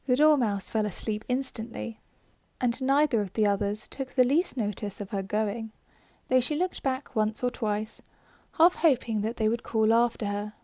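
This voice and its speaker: Soft, slow woman narrating a storybook. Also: on the high side, no room sound. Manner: soft and unhurried, sometimes whispered. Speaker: audiobook narrator.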